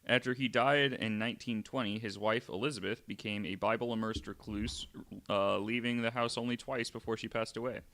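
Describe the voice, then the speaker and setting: A young adult man hosting a podcast, reading from notes with frequent "uh" fillers and adding wry, deadpan asides. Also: lowish voice, moderate pace. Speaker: podcast host.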